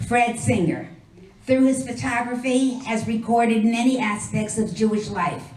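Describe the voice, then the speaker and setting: A woman presenting a ceremony, calling people up in a measured way with short introductions. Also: fairly high pitch, a little mellow, slight background noise. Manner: measured, announcing names and short bios. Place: hall at a memorial ceremony. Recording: echoey hall, mic at a distance, faint ambience.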